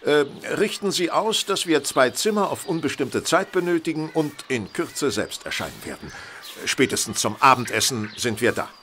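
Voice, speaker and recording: A man playing a brisk, authoritative detective in an audio drama, speaking formally and deliberately. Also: fairly high pitch, lively intonation, a bit bright, a little hiss or hum. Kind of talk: German audio drama acting.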